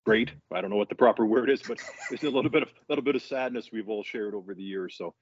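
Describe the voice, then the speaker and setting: Low-voiced older man from Canada. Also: fast talker. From central Canada. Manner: easygoing, storytelling. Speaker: podcast guest. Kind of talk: casual podcast chat. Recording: dull dry lo-fi audio.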